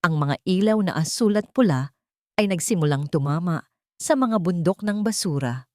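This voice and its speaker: A woman narrating a scripted audio drama in a measured, theatrical way. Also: lowish voice, expressive, warm tone, no room sound, clean studio sound. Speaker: storyteller.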